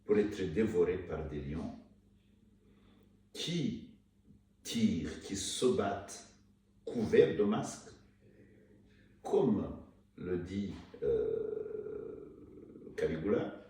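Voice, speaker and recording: A man from Rwanda, an author being interviewed, talking haltingly with many restarts and fillers. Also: low-pitched, lively intonation, warm tone. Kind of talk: hesitant interview talk. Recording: echoey room, mic far off, faint noise.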